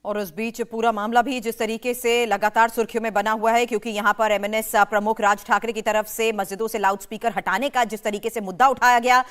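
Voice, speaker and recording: High-voiced Indian woman news anchor. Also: little pitch movement, quick pace, hardly any pauses, fairly bright tone. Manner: long, run-on emphatic sentences. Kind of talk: urgent news presentation.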